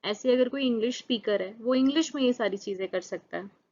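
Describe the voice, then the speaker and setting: A woman whose first language is Hindi, teaching a lesson for exam students, reading English definitions slowly and explaining them with rhetorical questions. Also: fairly high pitch, darkish tone. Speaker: channel host and teacher. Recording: dull lo-fi recording.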